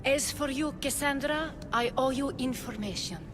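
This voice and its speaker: A woman's voice: high voice, moderate pace, over music, natural voice. An actress playing a proud, vengeful woman who leads a city's underworld, speaking in a commanding, theatrical, formal way in a video game. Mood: satisfied, grateful. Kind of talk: dramatic video game acting.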